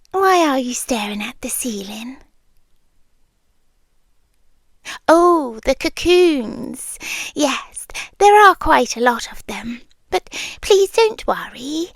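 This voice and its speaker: A British woman voicing a possessive, doting female spider monster in an intimate ASMR roleplay, speaking softly, often whispering, with sweet menace. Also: on the high side, no echo, clean studio sound, exaggerated voice. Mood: eerily fascinated.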